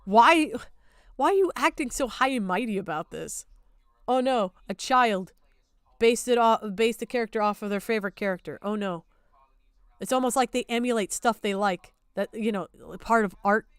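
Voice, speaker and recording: A woman with a clear, fairly high voice rants on a livestream. She is blunt and indignant and swears freely. The sound is dry, with very little room in it. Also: moderate pace, no echo. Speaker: streamer reacting to videos. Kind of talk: casual stream commentary and rant.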